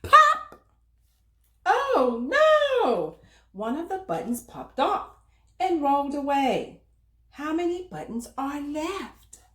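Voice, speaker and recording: Animated, bright-voiced woman reading to children. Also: high-pitched, slow. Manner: expressive, emphatic, addresses children with questions. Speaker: children's book reader and host. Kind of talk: animated children's storybook read-aloud.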